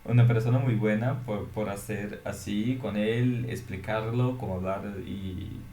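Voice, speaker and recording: A man from Brazil with a Brazilian accent, hosting a reaction video in a soft, earnest, praising way. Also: lowish voice, fairly even intonation, unhurried, a little hiss or hum. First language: Portuguese. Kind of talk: casual reaction commentary. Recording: echoey room.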